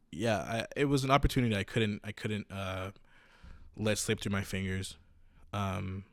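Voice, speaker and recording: A young man in his twenties, a podcast host, telling his own story in a casual, halting way with many restarts and fillers. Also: mid-range voice, no echo, clean studio sound.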